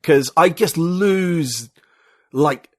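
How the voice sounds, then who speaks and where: A man's voice: on the high side, very slow, darkish tone, dry recording. Rambling man with a dry sound. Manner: rambling, full of "like" and "you know". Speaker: podcast guest. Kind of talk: casual conversation.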